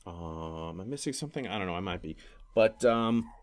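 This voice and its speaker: A man hosting a solo podcast, talking casually in a rambling, halting way and often correcting himself. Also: deep voice, expressive. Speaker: podcast host.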